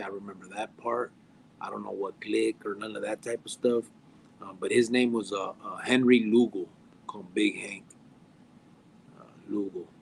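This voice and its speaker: A man, chatting casually on a podcast, halting with fillers and restarts and mixing in Spanish slang. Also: fairly low pitch, creaky, slight background noise, rough recording. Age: 40s. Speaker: podcast guest.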